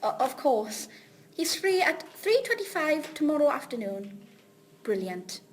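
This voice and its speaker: Courteous, reassuring office receptionist female actor, high-pitched, slight background noise. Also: very animated, unhurried. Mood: polite, businesslike. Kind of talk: script reading of a drama.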